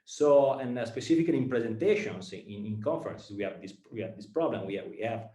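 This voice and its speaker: Hesitant Italian-accented man, low voice. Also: animated, dark tone, reverberant. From Italy. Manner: halting, many fillers and repeated words. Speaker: startup founder and guest speaker. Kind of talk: talk to students on starting a business.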